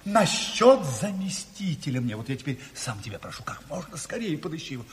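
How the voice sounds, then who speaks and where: An actor in a Soviet radio play playing an exhausted, feverish old communist intellectual who rambles, stammers and gets impassioned. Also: male voice, a little high, sing-song, husky, heavily acted. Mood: weary, apologetic. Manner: stammering, halting, rambling, theatrical. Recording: reverberant hall, 1970s radio production.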